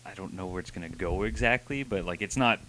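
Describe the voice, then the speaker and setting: A man's voice: deep voice, quick pace, bright, forceful tone, slight background noise. A man co-hosting a casual review chat with dry, blunt humour. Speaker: co-host reviewer.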